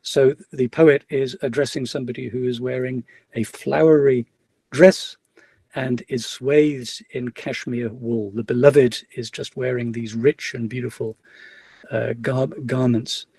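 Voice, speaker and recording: A man's voice: mid-range voice, steady tone, a little slow, vocal fry, warm tone, slightly rough, dry recording. A middle-aged man giving an academic webinar lecture over a video call, speaking hesitantly with many fillers and restarts. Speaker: guest lecturer.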